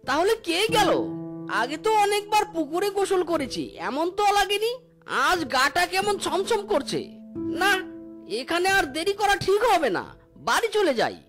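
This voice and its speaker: A woman voicing an easygoing but cautious father fox in a cartoon, expressive and given to exclaiming. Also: very high-pitched, normal speed, over music. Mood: uneasy. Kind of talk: cartoon dialogue.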